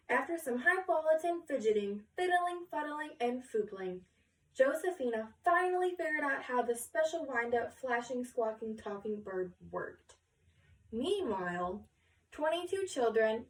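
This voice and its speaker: A woman reading a picture book aloud to children in an expressive storytelling style. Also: on the high side. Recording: echoey room, distant mic.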